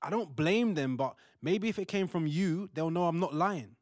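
Young expectant dad, male actor, sincere and insistent, lighter higher pitch, dry audio-drama recording. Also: normal speed, no room sound, lightly acted. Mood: calmer. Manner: earnest, insistent. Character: earnest, frustrated young expectant father Joseph.

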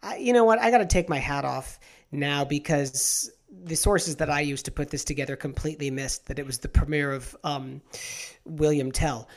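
A man hosting a talk show about opera, chatting in quick, animated bursts. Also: on the high side. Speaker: host. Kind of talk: casual chat.

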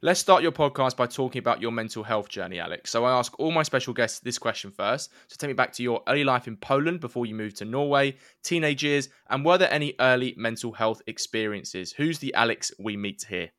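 A man's voice: medium pitch, expressive, a little fast, hardly any pauses, a bit bright, dry recording, studio quality. A man hosting a podcast interview, reading a partly scripted introduction and asking friendly questions, calling his guest mate. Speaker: podcast host.

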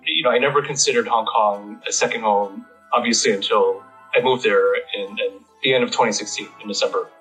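An American man giving a reflective interview, halting as he searches for words. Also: lowish voice, fairly bright tone. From United States. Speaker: interviewee, independent bookstore owner. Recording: slightly muffled, music behind.

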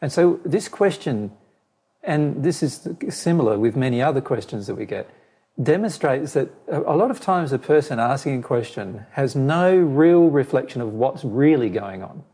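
A man whose voice keeps giving out, so it comes out husky and rough. His tone is dark and mellow, and he lays out his reading of a question in a measured way, often restarting a phrase. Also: medium pitch, lively intonation. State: losing his voice, hoarse. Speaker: spiritual teacher answering a question. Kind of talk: explaining to a listener.

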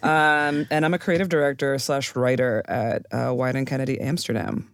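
A playful woman from Puerto Rico jokes her way through a podcast chat. Her voice is smooth and resonant, and the sound is close and dry. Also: a bass voice, fairly even intonation, moderate pace, dry recording. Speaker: creative director, podcast guest.

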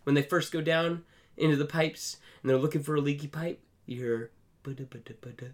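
A man's voice: fairly high pitch, a little fast. A man co-hosting a podcast in casual chat, hedging as he goes with plenty of "like" and "I mean". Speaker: podcast co-host.

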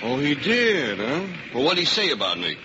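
A kindly, amused ballplayer from an old radio drama. His medium-pitched voice moves in a lively, fatherly way, over a dull recording with clear noise. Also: normal speed. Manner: easygoing, gently admonishing. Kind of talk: 1950s radio drama acting.

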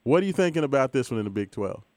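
Man, host, casual sports betting talk, easygoing, smooth voice, dry close mic. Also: mid-range voice, sing-song, very fast, darkish tone, no room sound. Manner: easygoing, laughs often, lots of fillers.

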